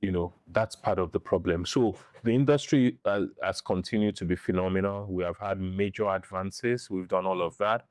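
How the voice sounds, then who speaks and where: A middle-aged man with a Nigerian accent giving opinions in a podcast interview, speaking deliberately and repeating phrases for emphasis. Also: low voice, no room sound, clean studio sound. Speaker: interview guest, film director and writer.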